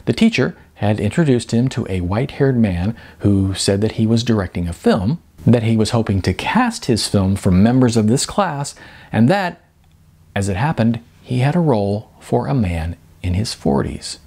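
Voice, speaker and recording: Male narrator, low and husky, lively swings in intonation, expressive audiobook-style reading of literary prose. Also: normal speed. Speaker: author reading their own novel.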